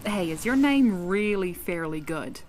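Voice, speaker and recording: A woman's voice: fairly low pitch, expressive, a little slow, a little mellow, slight background noise. An actress playing a defiant, sardonic submarine crewwoman in an audio drama, blunt and dry in manner. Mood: dry, teasing. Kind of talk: full-cast audio drama.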